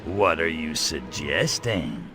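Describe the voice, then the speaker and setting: A brash alien invader with a slow, sardonic drawl and a hard, bright edge. He is a cocky, impatient wisecracker in cartoonish video game style, with music underneath. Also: very deep voice, very animated, exaggerated voice. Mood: incredulous, impatient.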